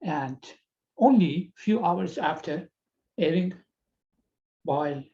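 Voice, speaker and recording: An older Iranian man speaks English with a Persian accent, pausing often and repeating words as he goes. His voice is mellow and unhurried, very slow overall. The sound comes through a slightly dull video call. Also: on the high side, lively intonation, vocal fry. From Iran. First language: Farsi. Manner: slow, halting and earnest, with frequent pauses and repeated words. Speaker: TV presenter. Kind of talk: personal address to viewers.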